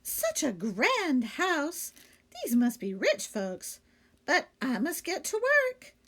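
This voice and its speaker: A woman's voice: sits high, wildly swooping pitch, slow, fairly bright tone, pitched above the performer's own voice, exaggerated voice. Cheerful, lilting storybook housemaid voiced by a woman. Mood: delighted. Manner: folksy, exclaiming, musing to herself. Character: cheerful, earnest, literal-minded housemaid. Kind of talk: children's storybook read-aloud character voice.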